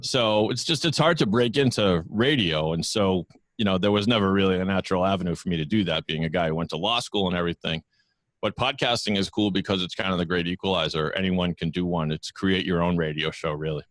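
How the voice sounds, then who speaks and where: A chatty, self-deprecating man speaks into a studio microphone from his podcast studio. His voice sits very low, and the sound is close and dry with no room around it. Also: a little fast, fairly bright tone, no echo. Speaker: podcast network founder, guest. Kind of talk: casual interview over video call. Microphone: studio microphone with headphones.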